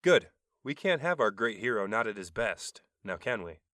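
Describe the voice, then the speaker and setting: An actor playing a friendly alien advisor who teases in a lively way. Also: male voice, lowish voice, moderate pace, no echo, clean studio sound. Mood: teasing.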